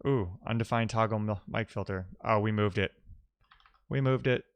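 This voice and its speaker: Man, slightly deep voice, smooth and clear, close dry sound, informal programmer streamer, thinking aloud. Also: moderate pace, no room sound. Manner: informal, reading and answering chat messages aloud. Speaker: live-streaming programmer, host. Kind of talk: casual live-coding stream chat, thinking aloud.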